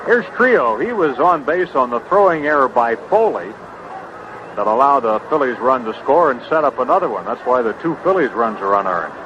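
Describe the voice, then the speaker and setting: Male play-by-play man, American, conversational and unhurried, mid-pitched voice, dull noisy vintage sound. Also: big pitch swings. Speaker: baseball play-by-play announcer. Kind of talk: live sports commentary. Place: stadium broadcast booth. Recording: muffled, noisy vintage baseball broadcast.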